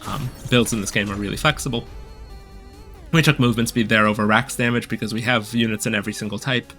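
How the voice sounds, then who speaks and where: A man calmly explaining a game strategy while he plays, a little hoarse from a cold, with many filler ums. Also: on the low side, quick pace, soft background music. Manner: relaxed, explanatory, frequent ums. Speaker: gameplay guide commentator. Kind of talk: casual gameplay commentary.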